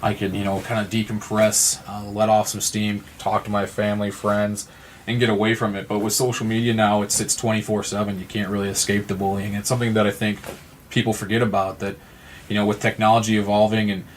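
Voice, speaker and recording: Male, 30s, podcast guest. Low voice, flat delivery, earnest and wordy, some background noise. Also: fairly quick. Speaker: podcast guest from a support non-profit. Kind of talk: conversational interview talk.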